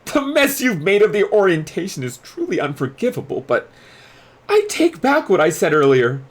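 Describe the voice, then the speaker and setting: A man plays a prim schoolboy leader from a fantasy storybook. He speaks in a raised pitch, with formal, haughty sternness. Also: big pitch swings, normal speed. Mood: stern, formal.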